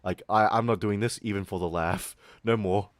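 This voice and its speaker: A man's voice: lowish voice, steady tone, moderate pace, no echo. A young man with an Australian accent that slips toward American, ranting casually on a stream, swearing and mocking people in put-on voices. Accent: Australian with some American.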